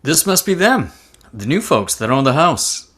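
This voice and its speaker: A man's voice: a little high, very animated, unhurried, fairly bright tone, breathy edge, full character voice. A man playing a well-meaning, eager ghost with an earnest manner in a comedy table read. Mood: interested.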